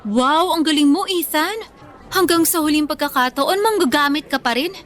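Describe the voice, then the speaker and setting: A woman's voice: high voice, normal speed, fairly bright tone, faint music behind. A woman playing a wronged young female student with a Filipino accent in a radio drama, vengeful, defiant and taunting. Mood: bitter. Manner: taunting, confrontational, emphatic.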